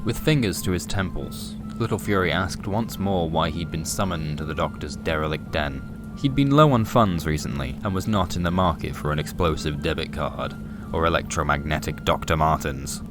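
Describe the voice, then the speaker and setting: A man narrating scripted noir comic fiction in a deadpan, wry manner. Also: rumbling low, moderate pace. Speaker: narrator and presenter. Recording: music behind the voice. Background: music.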